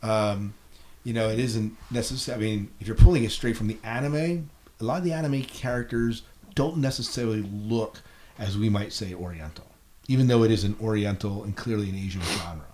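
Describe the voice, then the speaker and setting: A man's voice: fairly low pitch, brisk, a little mellow, slight background noise. A man hosting a casual podcast discussion, reasoning aloud in a hesitant way with repeated phrases. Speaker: podcast host.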